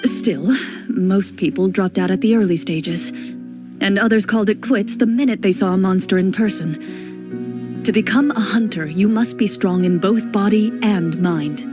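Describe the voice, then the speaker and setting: A young woman playing a composed, serious female duelist, speaking in a calm, measured way as she explains history in game dialogue. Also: medium pitch, natural voice. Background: game music.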